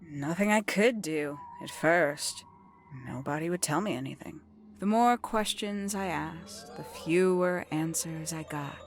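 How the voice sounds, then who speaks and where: An actress playing an enigmatic, brooding woman in a video game, telling her story in a measured, theatrical way with dramatic pauses. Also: deep voice. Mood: brooding, secretive. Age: adult, older than nineteen. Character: enigmatic, brooding woman recounting a secret family past. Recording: background music under the dialogue.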